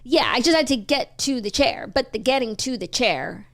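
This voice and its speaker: A woman co-hosting a casual morning talk show, coming down with a cold and wryly grumbling about a rough morning. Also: a little high, lively intonation, normal speed, a bit bright. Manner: chatty, grumpy and wry about a rough morning. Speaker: podcast co-host. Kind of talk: casual chat.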